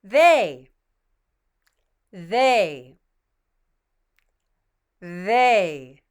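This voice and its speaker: Female pronunciation coach, very slow and patient, smooth clear voice, slightly low. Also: sing-song. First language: English. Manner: models each word twice, patient and encouraging. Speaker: pronunciation teacher. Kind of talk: slow, deliberate pronunciation drill.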